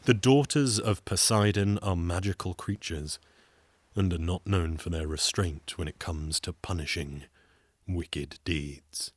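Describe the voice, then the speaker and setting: A man with a deep voice tells a folktale in a theatrical storytelling style. He slips into dry, wry asides, and the sound is close and dry, without room echo. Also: a little slow.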